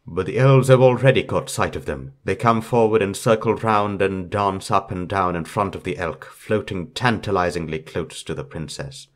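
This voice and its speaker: A man's voice: low voice, moderate pace, hardly any pauses. A man reading a fairytale aloud as a storyteller, in scripted narration.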